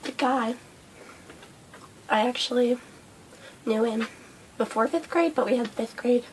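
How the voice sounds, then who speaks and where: Young woman with a hoarse cold voice, kinda high, rambling casual vlog chat. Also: a little slow, slight background noise, poor audio. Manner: rambling, frustrated, lots of fillers. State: hoarse, losing her voice from a cold. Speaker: vlogger. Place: indoors at home under a hot light.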